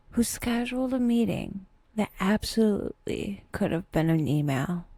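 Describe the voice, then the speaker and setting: A woman narrating a scripted guided meditation with wry, dry humor and a soft, breathy delivery, leaving unhurried pauses. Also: on the low side, no room sound.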